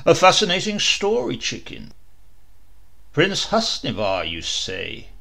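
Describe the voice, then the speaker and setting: A booming, jovial knight prince mocks a bragging bird in a comic storybook, played by a man. His voice is gravelly and bright, and the teasing lines rise and fall widely. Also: huge pitch swings, takes it slowly, exaggerated voice.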